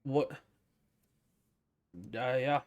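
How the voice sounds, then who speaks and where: A man's voice: medium pitch, flat delivery, extremely slow. A man hosting a casual video game playthrough, tossing out dry, sardonic quips. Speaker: gaming video host.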